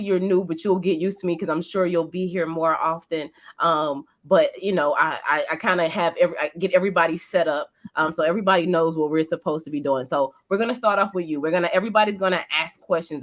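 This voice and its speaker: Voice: mid-range voice, a bit bright. A podcast host talking casually and informally, with some swearing.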